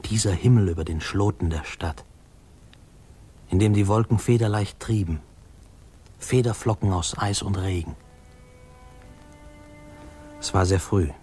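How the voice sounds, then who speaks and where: An actor playing a wistful man narrating his boyhood memories in a measured, literary 1950s radio drama style. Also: sits low, warm tone, faint music behind. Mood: wistful, lyrical. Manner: reflective, measured storytelling.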